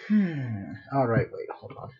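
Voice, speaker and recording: A man's voice: medium pitch, sing-song, a little slow, muffled recording. A man thinking aloud casually as he sketches on a live stream, muttering to himself with little sing-song filler noises. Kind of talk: casual thinking-aloud commentary while drawing.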